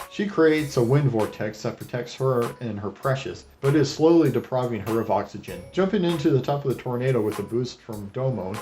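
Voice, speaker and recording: A man's voice: medium pitch, warm tone. A man hosting a video review, narrating with wry, sardonic commentary and crude joking asides. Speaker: host of an anime retrospective review.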